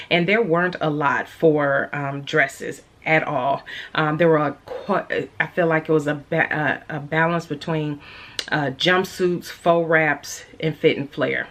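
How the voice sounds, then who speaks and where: A woman with a low, deep speaking voice talks to her sewing channel in a relaxed, chatty way. A cold leaves her sounding a bit nasal. She lingers on words she loves and fills gaps with ums and uhs. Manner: chatty, unhurried, drawn-out emphasis, many fillers. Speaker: sewing vlogger. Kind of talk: casual chat to camera.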